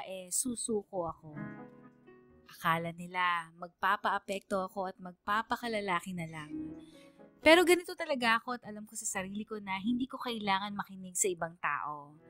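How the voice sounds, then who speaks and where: A Filipino woman working as a radio DJ, narrating a listener's letter as a scripted dramatic story in an earnest, measured way. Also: medium pitch, expressive, pushed and bright, soft background music. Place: dry studio, music behind.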